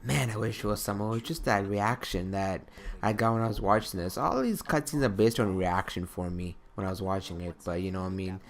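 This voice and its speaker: A young man with a lowish, creaky voice gives casual gaming commentary. He rambles conversationally through a countdown, often questioning himself as he goes. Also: fairly quick, few pauses. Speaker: video creator counting down favourites.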